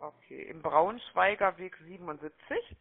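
A woman making a phone sales pitch, chatty and persuasive, often checking for agreement, heard over a telephone line. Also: expressive, moderate pace, creaky, breathy edge. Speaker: telemarketing caller. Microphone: telephone call.

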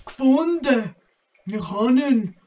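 A dawdling, childish troll sidekick played by a woman in a children's audio play, gloating and very slow. It is recorded from a little way off with clear noise behind. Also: high voice, expressive, distant mic. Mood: gloating, stubborn.

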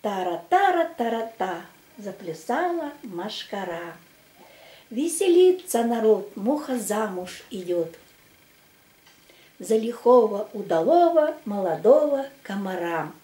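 An older woman reciting a children's verse tale in a theatrical, drawn-out and emphatic way. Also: mid-range voice, very animated, warm tone. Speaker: amateur children's storyteller with a plush puppet. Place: small room at home. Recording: echoey home room recording.

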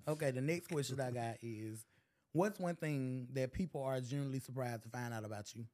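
A man's voice: medium pitch, brisk, warm tone. A young man with a Southern US accent, hosting a casual interview and asking his two friends questions in a playful, laughing way. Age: young adult. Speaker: host.

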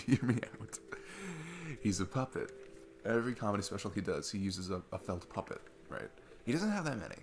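A man playing a sweet, chatty dad on a date in an ASMR roleplay, rambling enthusiastically with halting restarts. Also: on the low side, sing-song, a little hiss or hum. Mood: playful, affectionate. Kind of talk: ASMR audio roleplay, casual rambling talk.

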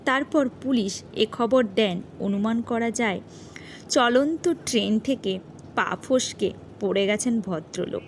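A woman storyteller narrating a scripted horror story dramatically, in a measured way with pauses. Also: a little high, a little hiss or hum.

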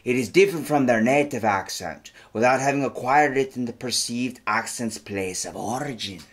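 A man's voice: mid-range voice, normal speed, close to an everyday voice. A podcast host, a man, putting on a fake Scottish accent in joking chat. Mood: hammy. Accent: put-on Scottish. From United States. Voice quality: hammy, joking.

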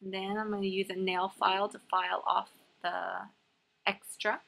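A woman's voice: medium pitch, level tone, moderate pace, gravelly, fairly bright tone. A woman hosting a nail art tutorial in a casual, instructional voice-over.

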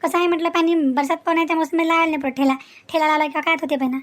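A woman acting as a gossipy village housewife with a rural Vidarbha accent. Her voice is extremely high and she rattles along quickly. She grumbles in folksy, repetitive exclamations, in the manner of a comic story cartoon. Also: fairly even intonation. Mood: chatty, grumbling. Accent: rural Vidarbha Marathi.

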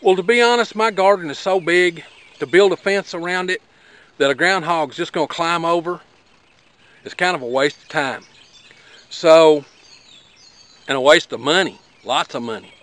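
A middle-aged man with an Appalachian accent and a fairly high voice, speaking outdoors. He talks in a folksy, plainspoken way, rambling a little and dropping in fillers as he demonstrates. Birds and rural sounds carry behind him. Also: normal speed, long pauses, a bit bright. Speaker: homesteader vlogger. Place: outdoors by a garden.

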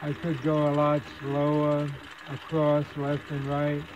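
A man casually narrating a hands-on repair demonstration at his workbench, with an unhurried, folksy manner. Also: a little high, completely flat delivery, highs cut off. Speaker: hobbyist host demonstrating radio repair. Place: indoor electronics workbench. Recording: clear background machine whir and noise.

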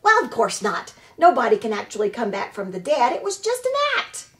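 A woman's voice: on the high side, very animated, brisk, a bit bright. A woman voicing a dramatic, show-off female opossum who gushes about acting. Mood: theatrical. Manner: gushing, theatrical.

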